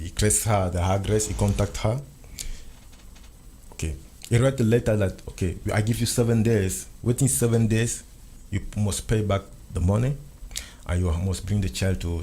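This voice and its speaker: A man with a lowish voice whose first language is French tells a long personal story in English. He repeats himself and restarts phrases as he insists on each point. A faint hiss of noise sits behind him. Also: mellow. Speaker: guest. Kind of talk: recounting a personal ordeal in conversation.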